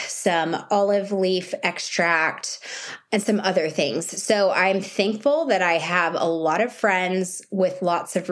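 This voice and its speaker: A woman in her thirties, home sick with a sore, scratchy throat, chatting to her viewers in a casual video diary. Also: medium pitch. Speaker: vlogger.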